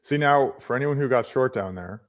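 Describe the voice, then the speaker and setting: A man's voice: mid-range voice, fairly quick. A man in his late twenties co-hosting a live trading stream, patiently walking through chart settings and restarting his phrases. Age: late 20s. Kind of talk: casual live-stream explaining.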